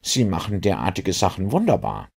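An eager police inspector in a detective audiobook, acted out by a male reader. His voice is lifted well above the reader's deep one and is a bit husky. He sounds earnest, puzzled and respectful, full of questions. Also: huge pitch swings, normal speed, darkish tone, exaggerated voice. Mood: curious, admiring.

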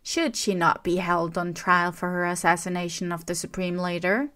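A sniveling male officer drawls his doubts slowly and without reverence, as a woman reader puts him on. He sounds resentful and snide, in a storybook-style reading. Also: on the low side, fairly even intonation, a bit bright, close to an everyday voice. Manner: sniveling, slow, insincere.

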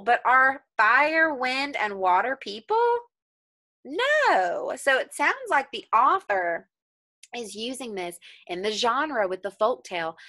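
A young adult woman teacher reading a story aloud to children and explaining it with simple, deliberate questions. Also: mid-range voice, sharp tone. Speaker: elementary school teacher.

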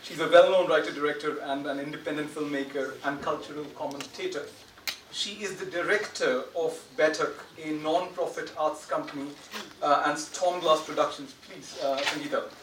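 A man in his thirties hosting and introducing a panel to an audience, informal and halting, often correcting himself. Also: on the high side, steady tone. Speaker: academic host introducing panel. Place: large lecture theatre, indoors. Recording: echoey lecture hall, distant, noisy.

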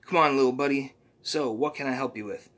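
An exasperated teenage girl, as a man reads her lines, impatient and sarcastic. His voice stays bright and close to his own, with only a slight lift. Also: mid-range voice, fairly quick, pitched above the performer's own voice, natural voice.

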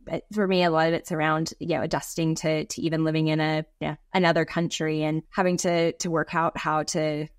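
An American woman in her thirties, a guest talking over a video call from a home office. She tells stories in a chatty, restarting way. Her voice sits a touch low and the sound is dry and close. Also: flat delivery, brisk, few pauses, clean tone, dry recording. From United States. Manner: chatty storytelling, frequent restarts. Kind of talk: conversational interview.

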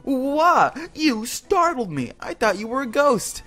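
A man voicing a startled, shrieking video game character, loud and exclamatory. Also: very high-pitched, sing-song, takes it slowly, faint music behind, voice pushed up, heavily acted.

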